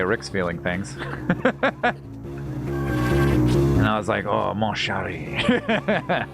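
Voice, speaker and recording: A man chatting casually as he hosts a game livestream, rambling and stammering with repeated words and slangy, salty language. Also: lowish voice. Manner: informal, profane and slangy. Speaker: livestream host playing a video game. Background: game music.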